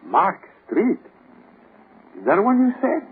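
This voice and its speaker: A man's voice: high-pitched, huge pitch swings, slow pace, breathy edge, exaggerated voice. An actor playing a blunt, irritable man woken at night in old radio drama, grumbling. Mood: irritated, puzzled. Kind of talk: 1940s radio acting. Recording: tinny, noisy old radio broadcast.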